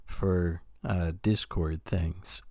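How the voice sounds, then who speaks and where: Slow-talking man, hesitant podcast co-host. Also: low voice, steady tone, dark tone, dry recording, clean studio sound. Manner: slow, hesitant talk with frequent backchannel murmurs. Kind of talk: casual chat.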